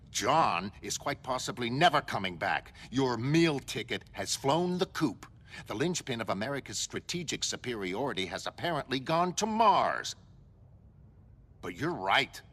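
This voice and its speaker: A man's voice: medium pitch, a bit bright, breathy edge, in a large hall, exaggerated voice. A man voicing a brusque, coldly blunt government agent in a motion comic. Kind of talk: motion comic dramatic acting.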